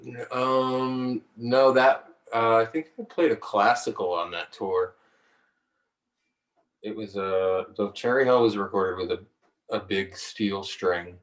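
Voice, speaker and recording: A man's voice: fairly even intonation, takes it slowly, reverberant, distant mic. A man who is a singer-songwriter, talking in a hesitant, laid-back way with frequent laughs during a video-call interview. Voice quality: low voice. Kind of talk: relaxed conversational interview.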